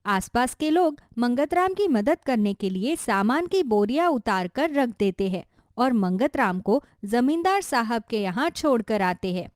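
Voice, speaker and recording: A woman's voice: a little high, very animated, unhurried, no room sound, clean studio sound. A woman reading scripted narration for a cartoon story.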